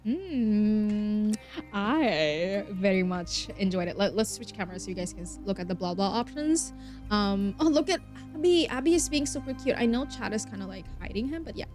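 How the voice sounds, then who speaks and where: A woman's voice: mid-range voice, lively intonation, normal speed, a little mellow. A woman streaming a game, reading story text aloud expressively and mixing in casual, chatty asides. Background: game music.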